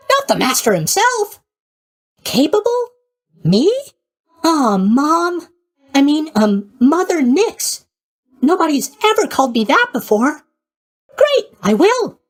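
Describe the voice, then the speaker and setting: Boyish sleepy god, video game character, very high voice, hugely animated intonation, chirpy, naive, tactless. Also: male voice, heavily acted. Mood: eager, flustered. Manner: bubbly, naive, scatterbrained, casually tactless.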